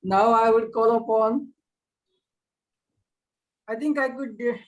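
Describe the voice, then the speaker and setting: A woman's voice: fairly high pitch, slow, a little mellow, rough recording. A woman, informally hosting an event over an online call. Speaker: event host.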